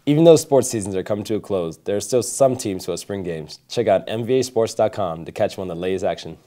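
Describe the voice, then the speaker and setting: A teenage boy presents the sports segment of a student news show. He talks quickly in a low, mellow voice and jokes around between results. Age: teens. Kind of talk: scripted sports roundup.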